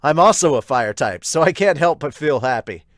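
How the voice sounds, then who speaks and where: A man voicing an excitable, admiring young male fan, gushing and chatty, in an anime fan dub style. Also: fairly high pitch, moderate pace, dry recording, clean studio sound. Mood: excited, gushing.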